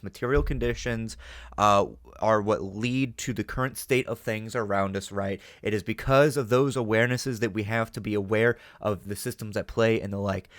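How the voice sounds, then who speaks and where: A man's voice: mid-range voice, fairly bright tone, dry recording, clean studio sound. A man giving casual, rambling commentary as a reaction host, emphatic, often tagging on "right?" and swearing freely. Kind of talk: casual spoken commentary on an audio drama.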